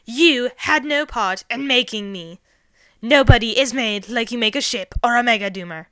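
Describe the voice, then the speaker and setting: A woman voicing a loud, arrogant male alien invader in a story, indignant and excitable, given to bombastic shouting. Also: fairly high pitch, normal speed, dry recording, pitched above the performer's own voice, close to an everyday voice. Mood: scornful. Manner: shouting, bombastic, refers to himself in third person.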